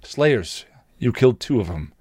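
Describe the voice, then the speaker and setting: A man's voice: medium pitch, very animated, measured pace, a little mellow, husky, no echo, clean studio sound. A man voicing an angry, domineering young woman who slays vampires, with a tight, curt delivery in a story reading. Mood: angry, demanding.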